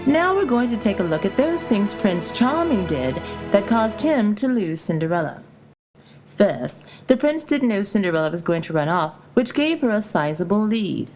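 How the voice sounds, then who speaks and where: A woman's voice: medium pitch, sing-song, moderate pace, rough recording. A woman reading scripted storytelling narration for an educational video, explaining in a storybook-like way. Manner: storybook-like, explanatory delivery with rhetorical questions. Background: music.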